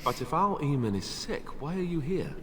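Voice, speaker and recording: An actor playing a wry, courteous young warrior companion in a fantasy video game. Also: male voice, a little high, expressive, normal speed, slight background noise, lightly acted. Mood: questioning. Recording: reverberant hall with music behind.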